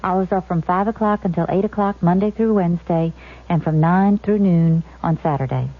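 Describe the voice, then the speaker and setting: Woman, local radio announcer, slightly low pitch, scripted calendar read, narrow tinny vintage sound. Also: normal speed, soft background music. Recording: tinny, narrow-band old radio broadcast.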